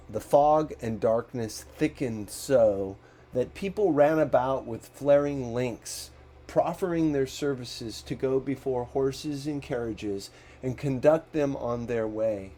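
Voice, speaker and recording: A male audiobook narrator reads literary fiction at a slow pace. His voice is mellow and mid-pitched. He shapes phrases deliberately, with a dramatic storyteller's delivery.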